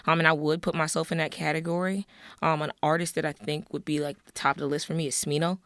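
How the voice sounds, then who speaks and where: A woman's voice: low-pitched, flat delivery, fast, fairly bright tone, dry recording, clean studio sound. A young woman from Maryland, a musician, chatting in a laid-back way as an interview guest. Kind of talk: casual interview chat.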